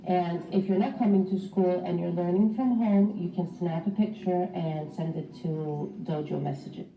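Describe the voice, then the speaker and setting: A woman teacher reading a children's storybook aloud in an expressive, instructive way for young pupils. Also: on the low side, moderate pace. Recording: roomy, distant mic, slightly dull.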